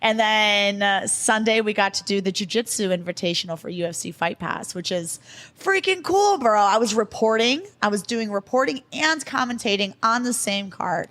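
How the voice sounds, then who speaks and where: A young woman from the States chatting as a podcast guest, quick and animated, with plenty of casual slang. Her voice sits at an ordinary mid pitch for a woman, and she rattles through her stories with real energy. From United States. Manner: fast, animated, slangy talk. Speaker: guest, fighter and commentator. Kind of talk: casual interview chat.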